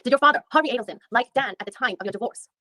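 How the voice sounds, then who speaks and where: A woman reads a dogged female attorney working through a deposition. She fires off methodical questions at a very quick clip, often restarting mid-sentence. The sound is close and dry. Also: fairly high pitch, pushed and bright, no room sound. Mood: probing. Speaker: reader in a deposition reenactment. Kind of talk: read-aloud legal reenactment.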